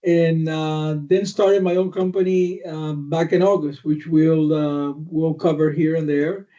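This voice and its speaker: Older guy, kinda high voice but mellow, lots of uhs, sounds far off and muffled. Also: fairly even intonation. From Cuba. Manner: halting, many fillers. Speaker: podcast guest. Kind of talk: conversational podcast discussion. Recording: distant, dull lo-fi audio.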